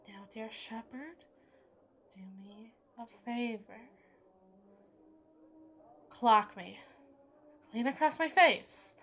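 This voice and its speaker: A woman's voice: a little high, lively intonation, drawn-out words, pauses often, a little hiss or hum, exaggerated voice. A woman playing an unstable, brooding evil queen in an intense, theatrical audio roleplay, speaking in formal, dramatic monologue. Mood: grim, commanding. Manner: theatrical, formal, intense, swinging between hushed and forceful.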